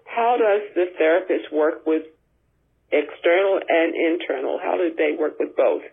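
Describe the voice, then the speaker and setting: A woman caller leaves a voicemail question, and it plays back over a tinny phone line. Her voice sounds slightly husky. She reads the question out slowly and earnestly. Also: deep voice.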